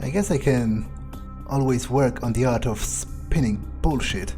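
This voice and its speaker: A man's voice: medium pitch, measured pace, warm tone, faint music behind. A man narrating a game playthrough in character as a jaded, foul-mouthed vampire, muttering his cynical thoughts aloud. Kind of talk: improvised in-character monologue.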